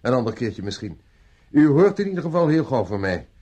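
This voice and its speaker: An actor playing a busy, polite gentleman in a Dutch radio play, brisk and courteous in manner. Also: mid-range voice, very animated, husky.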